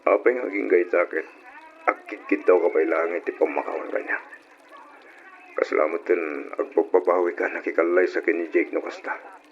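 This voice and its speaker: Casting: a jilted, forgiving suitor, low earnest voice, radio drama on a muffled, noisy recording. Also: male voice, steady tone, moderate pace. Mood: hurt. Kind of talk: Ilocano radio drama acting.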